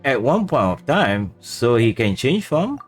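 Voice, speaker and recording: A man's voice: medium pitch, very animated, measured pace. A man voicing an earnest, curious male companion in video game dialogue, pondering in an everyday way. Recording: faint music behind.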